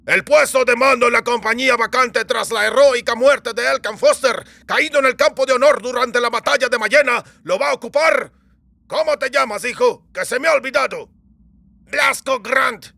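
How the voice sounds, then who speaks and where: A man voicing gruff, sardonic dwarf soldiers in a fantasy audiobook, blunt and given to swearing. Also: very high voice, moderate pace, pushed and bright, no room sound, pitched above the performer's own voice, heavily acted. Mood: booming, commanding. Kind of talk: dramatised fantasy audiobook dialogue.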